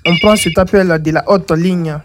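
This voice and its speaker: A man with a high voice hosts a playful mock radio broadcast. He talks casually and reassuringly, keeping things light. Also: steady tone, moderate pace, background noise. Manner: casual, reassuring, says t'inquiète a lot. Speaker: radio show presenter taking a call.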